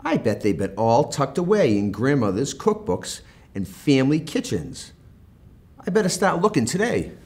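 A man's voice: medium pitch, very animated, normal speed, darkish tone, voice pushed up. An American man voicing eager young snowkids in a children's storybook read-aloud. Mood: keen. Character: eager young snowkids planning and cheering each other on.

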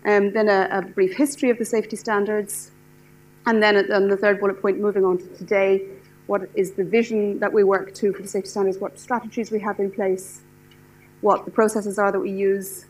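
A woman's voice: medium pitch, little pitch movement, a little hiss or hum, low-quality recording. Enthusiastic Irish woman lecturing. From Ireland. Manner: fast, enthusiastic, frequent restarts and fillers. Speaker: lecturer, standards specialist. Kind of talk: lecture to a class. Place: lecture hall with seated audience.

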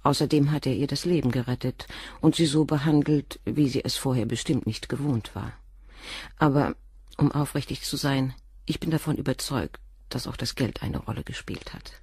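An actress playing a composed middle-aged doctor's wife and former nurse, speaking frankly and in a measured way in a radio drama. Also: female voice, rumbling low, darkish tone, breathy edge, no room sound, studio quality, heavily acted. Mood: candid. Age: 40s. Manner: measured, frank, controlled confession. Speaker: witness questioned by the inspector.

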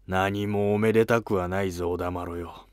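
A man voicing a weary, resentful senior court minister in an animated historical drama, with lofty, curt, archaic speech. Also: sits low, moderate pace, no echo, studio quality, voice pushed down, lightly acted. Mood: bitter, suspicious.

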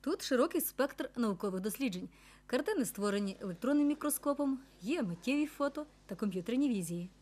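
A woman's voice: a little high, animated, a little fast, darkish tone. A woman reporter reading scripted narration for a television news report.

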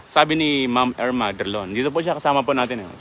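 A man's voice: mid-range voice, lively intonation, fast talker, fairly bright tone, slight background noise. A young man hosting a live fishing stream outdoors by a river, greeting viewers by name in a chatty, polite manner, speaking into a handheld phone. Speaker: livestream host.

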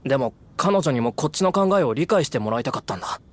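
A man's voice: medium pitch, animated, fast talker, slight background noise, close to an everyday voice. An actor playing an indignant, distrustful young townsman in an anime game, speaking in a curt, accusing manner. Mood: subdued, firm. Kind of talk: Japanese anime game voice acting.

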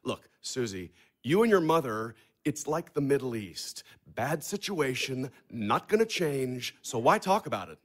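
An actor playing a blunt, sardonic money manager in an audio drama, with a dry, pressing delivery. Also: male voice, mid-range voice, big pitch swings, a little slow.

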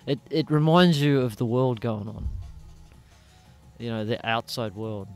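A man's voice: mid-range voice, unhurried, faint music behind. An Australian man hosting a casual podcast chat in a small studio, talking in a rambling way and swearing freely. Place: small dim studio room. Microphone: studio mic on boom arm, headphones.

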